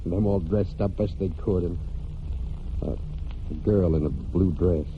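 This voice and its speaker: Low-voiced, halting farm man, old radio. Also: a little hiss or hum. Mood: wistful. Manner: plain rustic speech, halting and reticent. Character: brooding young New England farm man, wounded war veteran. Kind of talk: 1940s American radio drama acting. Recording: muffled 1940s radio broadcast with music.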